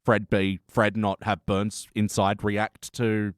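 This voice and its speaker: A man's voice: on the low side, monotone, takes it slowly, clear, resonant tone, no room sound, studio quality. A man chatting casually as a podcast host, rambling and informal in his reviewing. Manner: rambling, informal, swears freely.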